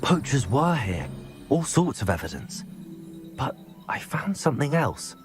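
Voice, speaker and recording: A man's voice: medium pitch, very animated, unhurried, faint music behind. An actor playing an earnest, curious young male wizard in a video game, polite and thoughtful. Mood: investigating, thoughtful. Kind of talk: video game cinematic acting.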